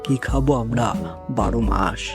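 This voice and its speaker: A man's voice: mid-range voice, normal speed, vocal fry, over music, lightly acted. A man voicing a grumbling, scolding forest animal in a cartoon dub, with emphatic phrasing. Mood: scolding, showy.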